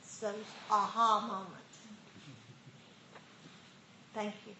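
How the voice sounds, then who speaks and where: Woman meditation teacher, unhurried and friendly, roomy hall recording. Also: mid-range voice, little pitch movement, bright, forceful tone. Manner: unhurried, laughs often. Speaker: dharma teacher. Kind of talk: casual group discussion. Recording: roomy hall with faint noise.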